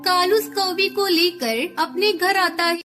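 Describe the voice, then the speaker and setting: Storytelling woman with extremely high voice. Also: fairly even intonation, measured pace. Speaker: narrator. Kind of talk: scripted cartoon story narration. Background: music.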